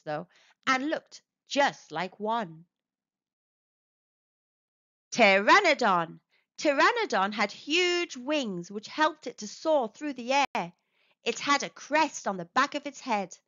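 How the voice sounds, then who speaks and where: A woman reading an educational children's book aloud as narrator, enunciating clearly in an expressive, teacherly way. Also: on the high side, no room sound, highs cut off.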